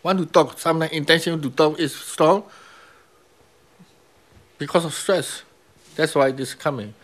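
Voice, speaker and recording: A man's voice: fairly high pitch, normal speed, fairly bright tone. A man with a Burmese accent, a meditation teacher speaking simple, broken English and often checking with "right?". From Myanmar. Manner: broken English grammar, repeats key words, asks "right?". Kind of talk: teaching dialogue with students.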